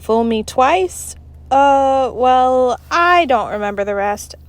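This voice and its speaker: A woman voicing an excitable, suspicious schoolchild with emphatic delivery in a children's storybook. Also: sits high, slow pace, no echo, pitched above the performer's own voice.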